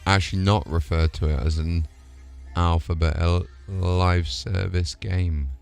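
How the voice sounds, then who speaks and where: A man with a low voice talks casually to his livestream while gaming. He is chatty and informal, thinking aloud as he goes, with music playing behind him. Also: steady tone, measured pace, gravelly, a little mellow. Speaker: live streamer. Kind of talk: casual game livestream chat.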